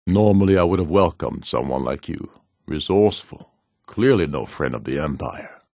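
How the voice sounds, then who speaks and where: A proud Nordic warlord for a fantasy video game, with an extremely deep voice. He speaks like a ruler addressing his warriors, solemn, measured and imperious. The sound is close and dry. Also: animated, creaky, a little mellow, no room sound, heavily acted. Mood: stern, commanding. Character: proud, commanding rebel warlord, a middle-aged Nordic jarl.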